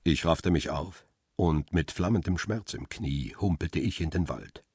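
An actor playing a grim male photographer who narrates a crime radio drama in a measured, terse, husky voice. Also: deep voice, darkish tone, no room sound, clean studio sound, heavily acted. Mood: grim, tense. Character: middle-aged male photographer Peter Lime, grim first-person narrator.

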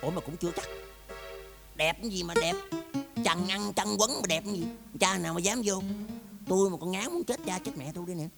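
Creaky, blustering southern Vietnamese husband. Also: male voice, high voice, fast talker, pauses often, big-room sound, over loud music. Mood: suspicious musing. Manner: exclamatory, folksy, muttering to himself then scolding. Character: suspicious, blustering husband bickering with his gambler wife. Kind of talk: comedic stage-style domestic drama acting.

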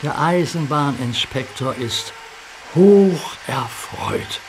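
A man narrating a children's radio play in a measured storytelling manner. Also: fairly high pitch, animated. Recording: noisy soundtrack recording.

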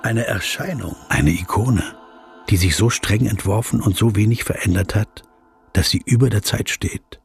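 An actor playing a reserved, observant interviewer who narrates his inner thoughts in a literary radio play, in short measured phrases. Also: lowish voice, creaky, husky, soft background music, full character voice. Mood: pensive, observing.

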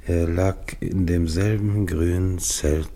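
An actor playing an anguished, guilt-ridden, dying polar expedition captain in a German radio play, with halting, brooding delivery. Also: male voice, low-pitched, fairly even intonation, dark tone. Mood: grieving, weary.

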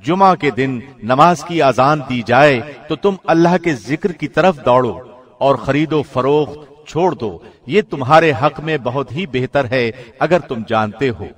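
A man reading a scripture translation like a radio presenter, formal, solemn and measured. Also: medium pitch.

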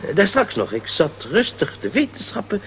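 A man's voice: high voice, huge pitch swings, normal speed, a bit bright, a little hiss or hum, heavily acted. A man acting an absent-minded, fussy professor in a children's radio play, hesitant and forgetful. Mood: flustered.

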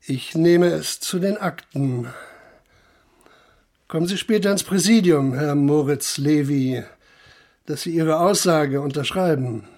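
An actor playing a persistent police inspector in a radio play, questioning in a measured, formal way. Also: male voice, fairly high pitch, darkish tone. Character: probing male police inspector investigating a murder. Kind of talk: German radio play acting.

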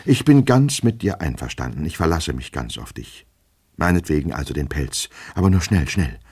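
A man voicing an anxious, flustered gentleman from a storybook, agitated and repeating himself as he despairs. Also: extremely low pitch, very animated, normal speed, breathy edge, full character voice. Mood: pleading, hurried. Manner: repetitive, stammering, agitated, talking to himself. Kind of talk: dramatic audiobook character reading.

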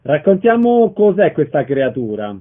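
A man's voice: fairly high pitch, big pitch swings, moderate pace. A man hosting a radio arts programme, interviewing guests and announcing shows. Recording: thin, narrow-band radio sound.

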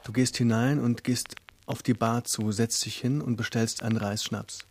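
A man's voice: medium pitch, fairly even intonation, moderate pace. A man telling a radio play story in the second person, in flowing descriptive narration. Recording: faint music under the voice. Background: faint instrumental music.

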